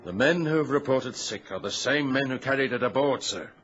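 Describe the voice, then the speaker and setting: An actor playing a deferential, uneasy ship's mate in an old-time radio drama. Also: male voice, mid-range voice, animated, a little fast, fairly bright tone, lightly acted. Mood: insistent. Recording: muffled, noisy vintage radio recording.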